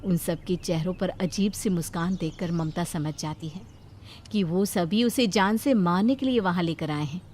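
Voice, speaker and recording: A woman narrating a moral cartoon story in a steady, dramatic way. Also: fairly low pitch, moderate pace.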